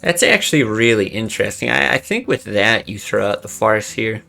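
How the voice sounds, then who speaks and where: A man's voice: lowish voice, expressive, normal speed, vocal fry, sharp tone, faint music behind. A young man streaming a card game, chatting casually and thinking aloud, swearing under his breath now and then. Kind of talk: casual gameplay commentary.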